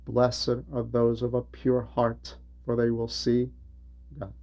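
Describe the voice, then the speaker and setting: A man's voice: mid-range voice, monotone, slow, creaky, a little mellow. A man delivering an explanatory religious homily in a didactic way, repeating phrases for emphasis. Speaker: preacher and religious teacher.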